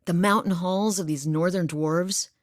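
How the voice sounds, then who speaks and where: A woman's voice: fairly low pitch, fairly even intonation, a little slow, no room sound, close to an everyday voice. Woman voicing a dry-humored northern huntress. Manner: dry humor, matter-of-fact. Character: steady, blunt woman hunter from a far northern village. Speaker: game character voice lines. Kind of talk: studio game voice acting. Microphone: close studio mic. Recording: dry studio booth.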